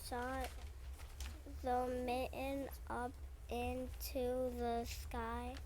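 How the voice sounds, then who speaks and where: A woman's voice: on the high side, monotone, very slow, a little mellow. A woman giving scripted storybook narration in a readers theater, recorded with some room noise.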